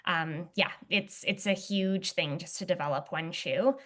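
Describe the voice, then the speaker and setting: Hesitant young woman, a little hoarse. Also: on the low side, a little slow, a bit bright. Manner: hesitant, many fillers and restarts. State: slightly hoarse, clearing throat. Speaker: footwear testing lead, interview guest. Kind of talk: casual interview conversation.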